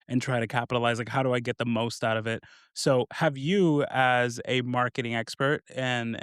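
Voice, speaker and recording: Man hosting a casual interview, rambling filler-heavy questions, smooth clear voice, dry close sound. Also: mid-range voice, a bit bright, no room sound. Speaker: podcast host.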